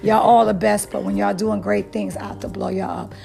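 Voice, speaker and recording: A woman with a mid-range voice and a gravelly, fry-edged tone hosts a casual talk show. She talks in an upbeat, emphatic way and builds hype. Faint music plays underneath. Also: medium pitch, flat delivery, brisk, warm tone. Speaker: podcast host and hairstylist. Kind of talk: casual interview chat.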